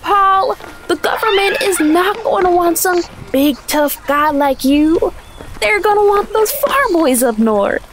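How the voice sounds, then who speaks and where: A woman's voice: extremely high pitch, lively intonation, takes it slowly, full character voice. A woman in an audio drama playing a breezy, teasing young family's mother. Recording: faint ambient noise.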